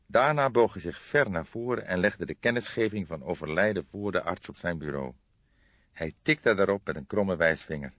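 A man's voice: deep voice, expressive, moderate pace, fairly bright tone. A man reading a detective novel as scripted audiobook narration. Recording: dull, roomy sound.

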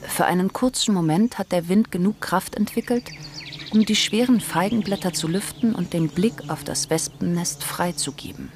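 A woman's voice: on the low side, moderate pace, a little mellow, slight background noise, close to an everyday voice. A woman acting as the narrator of a radio play, formal and matter-of-fact as she reports on her master. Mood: formal, factual.

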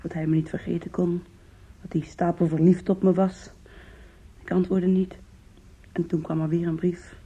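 An actress playing an anguished young woman in a Dutch radio play, confiding in her lover with halting, intimate emotion. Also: on the low side, flat delivery, mellow, breathy edge. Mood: confiding, bitter. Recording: dull, muffled old radio recording.

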